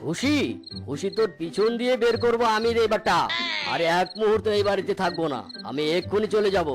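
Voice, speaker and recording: A man's voice: sits high, lively intonation, brisk, over music. A man voicing a scheming, grumbling fake holy man in a cartoon, with a theatrical delivery. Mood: angry. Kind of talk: cartoon character acting.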